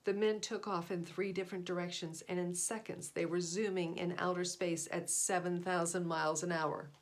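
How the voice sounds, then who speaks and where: A woman's voice: on the low side, fairly even intonation, normal speed. A woman narrating a children's picture book aloud in a dramatic, emphatic way. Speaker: storybook reader.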